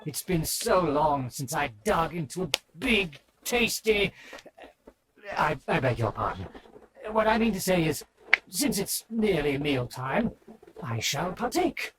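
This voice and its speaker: Pompous high-pitched nobleman. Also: very animated, slow pace, a crowd in the background, rough recording. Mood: delighted, dignified. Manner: pompous, formal, archaic wording. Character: pompous, haughty aristocratic nobleman, easily flattered. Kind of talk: scripted video game character acting.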